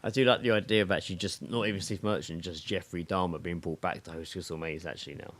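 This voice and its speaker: A man's voice: sits low, steady tone, fast talker, fairly bright tone. A British man co-hosting a podcast with casual, joking, sweary banter. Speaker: podcast co-host.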